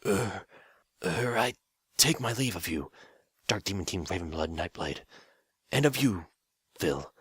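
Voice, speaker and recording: A man's voice: low voice, expressive, a little slow, pauses often, a bit bright, breathy edge, no room sound, clean studio sound, heavily acted. A man voicing an old gray male unicorn, a royal counselor who speaks in a formal, archaic and hesitant way. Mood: solemn, bewildered.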